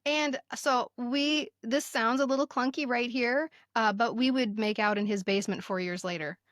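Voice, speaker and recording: Middle aged american woman podcast host, higher voice, close dry sound, chatty and emphatic, repeats herself. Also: normal speed, fairly bright tone, dry recording. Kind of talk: casual chat with animated reading aloud of old notes.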